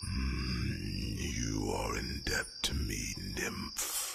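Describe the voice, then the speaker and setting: A man playing an ancient male dragon in an ASMR fantasy roleplay, patient and slyly amused, murmuring deliberately in a deep gravelly rumble. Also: over loud music, full character voice. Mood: calm, insistent.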